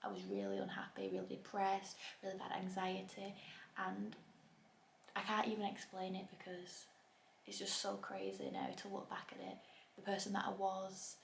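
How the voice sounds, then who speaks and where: A young woman with a Bolton accent chats casually to her camera, dropping ums and little self-deprecating jokes. Her voice carries a strong gravelly fry. The room sounds echoey, with a faint hiss underneath. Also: medium pitch, normal speed. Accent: Bolton, Greater Manchester. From England. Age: 20s. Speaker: YouTuber. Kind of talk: casual talking-to-camera vlog.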